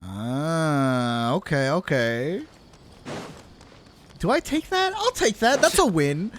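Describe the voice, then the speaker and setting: Animated high-pitched man streaming a game. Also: slow pace. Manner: slangy, animated, swearing, teasing. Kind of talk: casual chat with viewers during gameplay. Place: live stream at a gaming setup.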